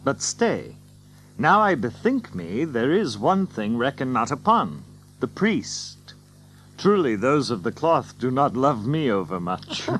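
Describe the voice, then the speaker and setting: An actor playing a bold, cocky outlaw leader with a hearty, declamatory manner in an archaic English radio drama. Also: male voice, mid-range voice, very animated, slow pace, fairly bright tone, a little hiss or hum. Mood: pondering.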